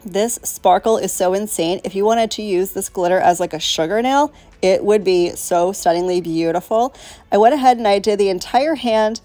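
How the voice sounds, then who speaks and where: A woman's voice: mid-range voice, normal speed, warm tone, dry recording. Middle-aged woman host, stuffy from a cold, chatty and self-mocking, dry close voice-over over faint music. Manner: chatty, self-deprecating, talks to viewers as y'all. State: congested with a cold. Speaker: nail tutorial host. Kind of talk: casual voice-over tutorial. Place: home, voice-over.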